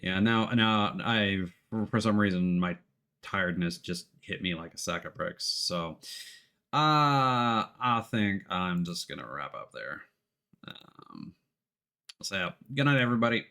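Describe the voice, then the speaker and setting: A man's voice: low-pitched, expressive, a little slow, sharp tone. A man giving casual, rambling video game commentary as a live streamer, hesitant and stumbling over his words. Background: game music.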